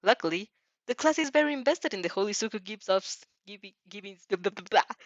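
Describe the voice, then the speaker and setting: A woman's voice: medium pitch, expressive, fast, dry recording. A woman reads a story aloud expressively and informally, stumbling over sentences and breaking off to react casually. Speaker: fan fiction reader reacting as she reads. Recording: slightly dull, dry recording.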